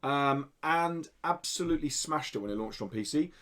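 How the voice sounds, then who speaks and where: A man's voice: fairly high pitch, animated, brisk, a bit bright. A man hosting a podcast in a studio, reading out indie game news in an informal, explanatory way. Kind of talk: casual podcast news chat. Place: indoor studio.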